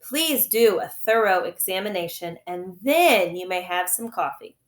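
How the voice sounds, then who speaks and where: A woman's voice: mid-range voice, animated, a little slow, lightly acted. Falsely polite male schemer, voiced by a woman: controlling, storybook delivery, roomy echo. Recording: echoey room.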